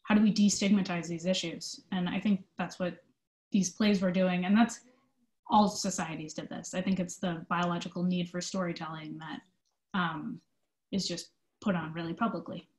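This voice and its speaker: Lowish woman's voice, echoey room, earnest and thoughtful, chatting thru an interview casually. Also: fairly even intonation, moderate pace, darkish tone. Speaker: theater company manager being interviewed. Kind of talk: conversational interview answers.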